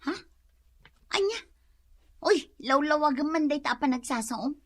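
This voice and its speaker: A woman's voice: sits high, expressive, unhurried, a bit bright, no echo. A woman playing a proud, coy single woman in an Ilocano comedy radio drama, full of curt questions, with an Ilocano accent. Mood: baffled, coy.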